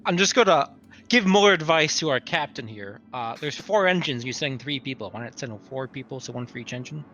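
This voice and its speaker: A man's voice: mid-range voice, animated, fairly quick, sharp tone, muffled recording. A man bantering casually as a tabletop game player, with a sarcastic, needling manner.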